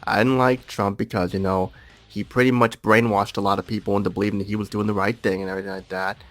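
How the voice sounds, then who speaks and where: A man's voice: fairly low pitch, little pitch movement, fast. A man giving his political views in a casual chat, rambling and repeating himself. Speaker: podcast guest. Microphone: call recorder.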